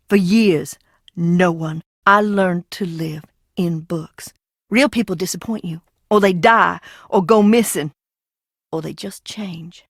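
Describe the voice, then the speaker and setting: An actress playing an eccentric, bitter younger sister in a video game, theatrical and mercurial, giggly and menacing by turns. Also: female voice, medium pitch, gravelly, dry recording, clean studio sound. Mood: sad, withdrawn. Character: eccentric, bitter, unhinged younger sister living hidden in a crypt. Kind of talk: video game character acting.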